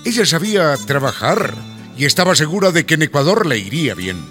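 An actor narrating a radio soap opera as its storyteller, in a scripted style. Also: male voice, on the high side, very animated, brisk, fairly bright tone, faint music behind. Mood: storytelling.

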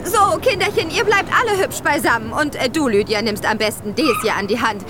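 Bossy schoolteacher, swooping and animated. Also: female voice, sits high, music in the background, crowd noise. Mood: brisk, commanding. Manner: brisk, scolding, talks down to children. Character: bossy, impatient female teacher chaperoning schoolchildren. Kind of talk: radio drama acting.

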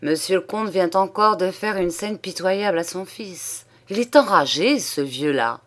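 A woman's voice: fairly low pitch, animated, a little slow. An old household footman , voiced by a woman reader, muttering blunt, grumbling gossip about his master. A plain servant's voice from a read-aloud novel.

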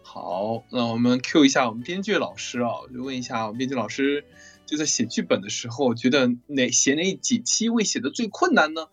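Very low-voiced woman hosting, lively. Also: a little fast, breathy edge, faint music behind. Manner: teasing, repeats words while searching. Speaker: interview host. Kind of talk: casual interview chat.

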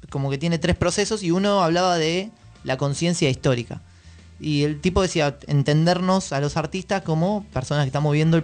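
A man with an Argentine accent hosting a casual radio conversation, phrasing his thoughts in a hesitant, reflective way. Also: on the high side. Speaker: radio host.

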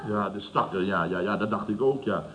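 A man's voice: lowish voice, a little fast, natural voice. An actor in his fifties playing a self-satisfied, blustering building boss in a vintage radio comedy, chuckling and repeating himself. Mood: indignant, amused. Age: 50s. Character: gruff, self-satisfied older male building boss telling tales. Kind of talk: 1970s Dutch radio comedy acting. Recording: muffled, noisy vintage radio recording.

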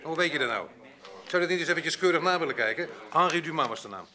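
A male actor playing a rough, bitter grape picker with a violent past in a Dutch radio drama, gruff, cursing and sardonic. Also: on the high side, expressive, quick pace, sharp tone. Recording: busy crowd noise behind.

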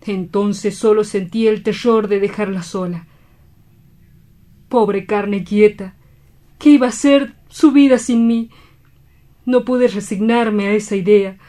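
A woman playing a grief-stricken, guilt-ridden doctor in a radio drama, solemn, halting and imploring. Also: mid-range voice, fairly even intonation, mellow, lightly acted. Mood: anguished.

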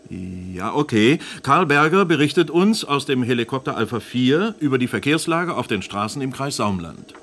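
An actor playing a rattled male host in a radio drama, halting and insistent as he tries to keep control. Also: mid-range voice, sing-song, fairly bright tone. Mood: controlled. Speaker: programme host.

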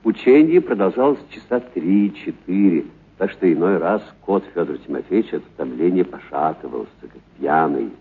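A man's voice: low-pitched, animated, normal speed, breathy edge, narrow, tinny audio. A man narrating a literary story for radio expressively and theatrically, lingering on certain words.

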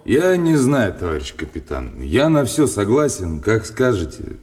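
Gruff, low, surly soldier. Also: male voice, huge pitch swings, unhurried, darkish tone, full character voice. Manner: gruff, colloquial, grudging, folksy. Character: surly, plain-spoken soldier, a prison escort guard. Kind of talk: Russian radio play acting.

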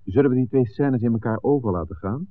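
An actor playing an exasperated, businesslike scriptwriter, sarcastic and prodding in a 1960s radio play. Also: male voice, mid-range voice, fast, close to an everyday voice. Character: exasperated, businesslike film scriptwriter working with a partner. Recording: tinny old radio recording.

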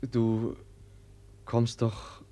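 An actor playing a gentle, naive and troubled Russian prince who speaks haltingly in a theatrical German radio play. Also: male voice, medium pitch, monotone. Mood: troubled, agitated. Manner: halting, soft-spoken, hesitant.

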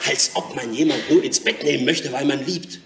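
A man's voice: mid-range voice, moderate pace, natural voice. An actor as a cocky, mocking young man in an echoey room. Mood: lecturing, amused. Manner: mocking, worldly, lecturing. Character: brash teasing young Parisian man, cocky and bawdy. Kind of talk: German radio play acting. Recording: echoey room, slightly distant, faint hiss.

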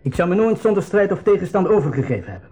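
An actor playing a proud, wary rebel leader who speaks formally, with a Flemish accent, in a 1980s school radio play. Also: male voice, high-pitched, big pitch swings, fast talker, dark tone. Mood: proud, firm.

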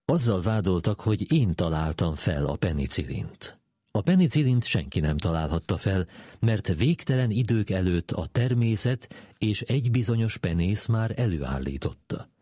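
A man reading the words of a measured, matter-of-fact scientist in a scripted documentary quotation. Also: low-pitched, big pitch swings, warm tone, husky, no echo, clean studio sound, heavily acted. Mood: modest, measured. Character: male scientist, measured and matter-of-fact, explaining his discovery.

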